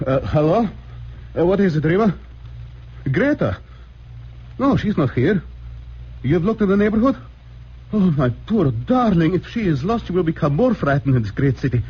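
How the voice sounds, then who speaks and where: An actor playing an anxious, devout immigrant father who speaks in halting, formal, stammering phrases. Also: a little high, very animated, slightly rough, full character voice. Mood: panicked. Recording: muffled old radio broadcast.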